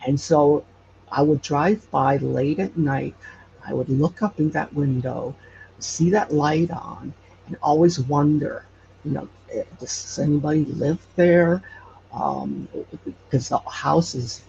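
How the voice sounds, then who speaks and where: Hesitant, gravelly man on a muffled recording. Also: on the high side, animated, a little mellow. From Hawaii. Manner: hesitant, pausing often mid-phrase. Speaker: podcast guest, paranormal storyteller and investigator. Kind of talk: conversational personal storytelling in an interview. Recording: muffled lo-fi with faint background noise.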